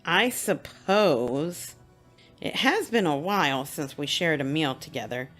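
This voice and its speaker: A woman playing a reserved older sister who speaks in a cool, measured way. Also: deep voice, animated, fairly bright tone, soft background music, full character voice. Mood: guarded.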